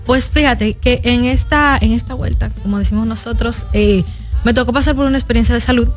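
A woman's voice: fairly high pitch, fairly quick, soft background music. A woman in her twenties with a Dominican Spanish accent, speaking earnestly and reflectively as an interview guest, hesitating between phrases. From Dominican Republic. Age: 20s. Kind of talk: conversational radio interview.

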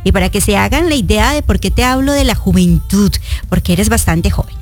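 A woman's voice: mid-range voice, expressive, a little fast, faint music behind. A woman hosting a radio interview, speaking in an encouraging, affirming way to her guest and listeners.

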